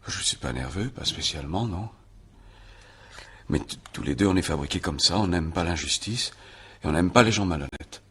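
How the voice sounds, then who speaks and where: A young farmer under questioning in a radio drama. His voice is deep and heavily rasping, and he answers in a withdrawn, hesitant, sullen way, keeping things short and evasive. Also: lively intonation, fairly bright tone, exaggerated voice. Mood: guarded. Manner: hesitant, evasive, taciturn, mumbled halting answers. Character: sullen, withdrawn 23-year-old male farmer accused of murder. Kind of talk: French radio drama acting.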